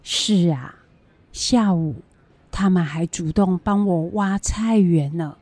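A woman playing a kindly grandmother in a children's educational radio drama, with a husky, plain-spoken delivery. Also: on the low side, takes it slowly, darkish tone, no echo. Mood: fond, relaxed. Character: kindly grandmother raising her grandchildren, fond of fish heads.

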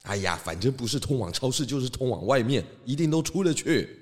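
A man's voice: medium pitch, very animated, a little fast, over loud music, lightly acted. A man playing a portly, confident pig detective in a children's detective audio drama, theatrical and full of exclamations. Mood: matter-of-fact.

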